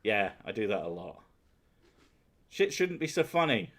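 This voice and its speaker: A lowish-voiced man in his thirties chats on a stream. He is loud and sweary, and he laughs at himself. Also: very animated, unhurried. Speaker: streamer host. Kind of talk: casual chat with partner and chat. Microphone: headphones and desk mic.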